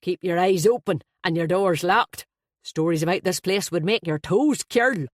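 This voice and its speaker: A woman's voice: lowish voice, normal speed, no echo, clean studio sound, natural voice. A woman voicing a big, jovial, chatty Irish man with a hearty, slangy, emphatic manner in an audiobook story. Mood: ominous.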